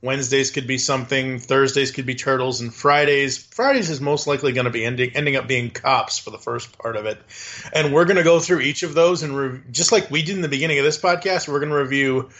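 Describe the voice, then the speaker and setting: A man hosting a podcast, loose and chatty, breaking into laughs as he talks. His voice sits at an ordinary middle pitch and comes through a slightly dull, lo-fi recording. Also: brisk, fairly bright tone. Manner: chatty, laughs often, rambles through plans. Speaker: podcast host. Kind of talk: casual podcast chat.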